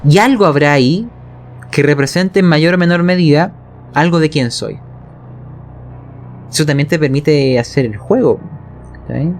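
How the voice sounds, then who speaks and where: Chilean man explaining, slightly high voice. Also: moderate pace, long pauses, faint music behind. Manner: colloquial, checks in with listeners. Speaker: game master teaching narration technique. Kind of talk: explanatory conversation on tabletop role-playing narration.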